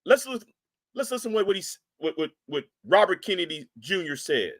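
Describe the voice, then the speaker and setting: Animated man scolding on a live stream. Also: sits high, fairly quick. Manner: animated, repetitive, mocking, scolding. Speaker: live stream host reacting to a video. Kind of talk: reaction commentary to a live chat.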